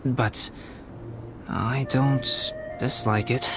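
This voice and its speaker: Calm brainy young artist in a game, polite n formal, music behind him. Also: male voice, medium pitch. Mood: modest, calm. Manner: measured, formal, composed. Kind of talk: animated game voice acting.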